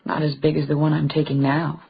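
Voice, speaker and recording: An actress playing a bold newspaper reporter, knowing and teasing, in a radio crime drama. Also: female voice, low-pitched, flat delivery, brisk, vocal fry, muffled recording.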